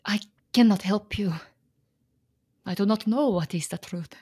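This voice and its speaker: Grieving Slovak immigrant wife. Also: female voice, medium pitch, mellow, husky. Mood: subdued, sorrowful. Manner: formal, halting non-native phrasing. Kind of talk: dramatic radio play acting.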